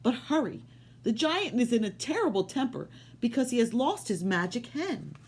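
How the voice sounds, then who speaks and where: A woman reading aloud, voicing the giant's wife, a kindly but bossy woman who talks briskly. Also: fairly high pitch, big pitch swings, darkish tone, slight background noise, voice pushed up. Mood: urgent.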